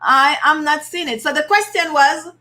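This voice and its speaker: A Jamaican woman chats on a live stream in a high, bright voice. She is outspoken and laughs easily as she answers her viewers' comments. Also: moderate pace. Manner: outspoken, laughs often, reads comments aloud. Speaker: beauty channel host.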